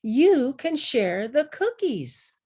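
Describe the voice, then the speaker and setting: Woman reading as a lively, generous storybook mother. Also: high voice, slow, breathy edge, pitched above the performer's own voice, heavily acted. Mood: welcoming. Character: Ma, welcoming, generous mother offering cookies.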